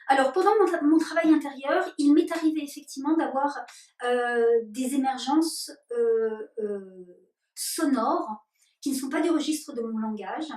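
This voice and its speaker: A woman leading spiritual workshops, answering interview questions slowly and deliberately with many hesitations. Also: sits high, darkish tone. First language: French. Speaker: workshop leader. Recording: roomy and distant.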